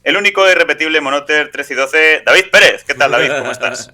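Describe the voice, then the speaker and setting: A man's voice: on the high side, quick pace, sharp tone. A man hosting a political podcast, speaking in a sardonic, rhetorical way. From Aragon, Spain. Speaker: podcast host. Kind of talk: political podcast editorial and co-host banter.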